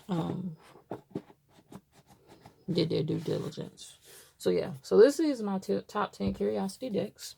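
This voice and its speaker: A woman's voice: fairly low pitch, gravelly, mellow, a little hiss or hum. A woman from Baltimore chatting casually to camera, rambling with many fillers as she shows items. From Baltimore, USA. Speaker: tarot deck reviewer.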